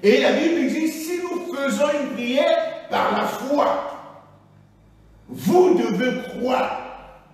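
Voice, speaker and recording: A man preaching a sermon as pastor, emphatic, peppering his talk with alleluias and swinging between loud and hushed. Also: extremely high pitch, lively intonation, drawn-out words, long pauses. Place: church hall. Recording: echoey church hall, voice from far.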